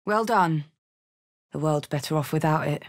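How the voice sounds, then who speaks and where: A woman's voice: sits low, normal speed. An actress voicing a brash, big-hearted tiefling barbarian woman in a video game, blunt and punchy in her delivery. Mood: bold. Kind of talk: video game character voice lines.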